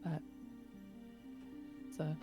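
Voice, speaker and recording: A woman's voice: extremely slow, over music. A woman casually improvising as a player in a tabletop game. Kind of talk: casual improvised roleplay chat.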